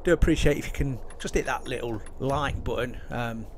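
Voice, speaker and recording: A man's voice: medium pitch, normal speed, slight background noise. A man hosting a gaming video, chatting casually in a polite, rambling, hesitant way and often repeating himself. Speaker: gaming YouTuber, host. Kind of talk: casual video intro commentary.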